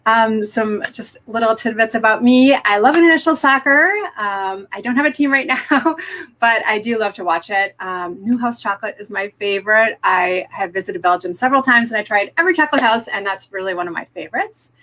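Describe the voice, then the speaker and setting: Middle-aged woman coach, higher-pitched voice. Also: a little fast, pushed and bright. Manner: upbeat, encouraging, often prompts viewers directly. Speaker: business coach hosting a live webinar. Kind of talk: live online presentation to viewers.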